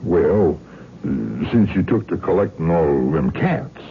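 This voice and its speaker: A man's voice: deep voice, animated, measured pace. An actor playing a reluctant, dutiful small-town lawman in a radio drama, speaking in a folksy, hesitant manner. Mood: apologetic, cautioning. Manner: hesitant, folksy, drawling phrases. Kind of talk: old-time radio drama acting. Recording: muffled vintage radio with faint noise.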